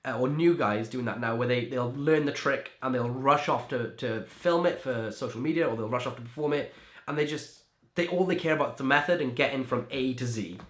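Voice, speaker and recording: A man's voice: mid-range voice, fairly quick, fairly bright tone. Chatty young man from Wales. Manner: chatty, lots of "like" and restarts. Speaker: magician and creator. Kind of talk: interview answers. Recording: echoey room.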